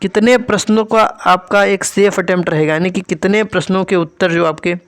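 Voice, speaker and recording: A man's voice: sits high, fairly even intonation, brisk, clean tone, no echo. A man teaching as an exam coach, explaining score figures to students in an instructional way and repeating key points. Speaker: exam coach and channel host.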